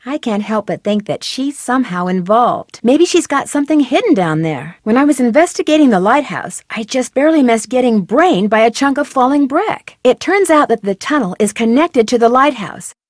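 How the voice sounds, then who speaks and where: A woman's voice: fairly high pitch, expressive, normal speed, few pauses, no echo, studio quality. An actress voicing a young female amateur detective in a video game, inquisitive and chatty as she asks for hints and talks through clues. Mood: curious. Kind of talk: studio video game voice acting.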